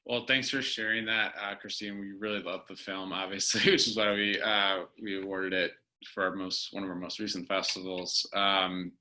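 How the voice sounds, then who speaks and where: A male host interviews a film director in a friendly, halting way. His voice is very deep. Its tone is sharp and bright. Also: little pitch movement, low-quality recording. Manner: friendly, halting, with ums and restarts. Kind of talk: casual interview.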